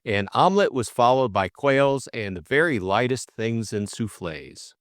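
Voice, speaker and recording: A man's voice: medium pitch, lively intonation, unhurried, no room sound, studio quality. A man giving scripted narration of a classic story as an audiobook narrator.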